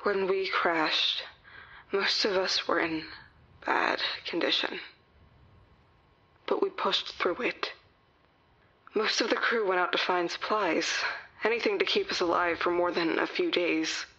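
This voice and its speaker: A woman's voice: fairly low pitch, husky, heavily acted. A woman playing a shaken crash survivor. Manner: slow, shaken, hushed. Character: frightened young woman survivor, recounting a crash. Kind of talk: fan audio drama acting. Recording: dull lo-fi sound.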